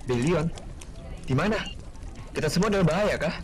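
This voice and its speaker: A man's voice: a little high, lively intonation, moderate pace, slight background noise, lightly acted. A man playing a confused, anxious wounded hero in a fantasy game roleplay.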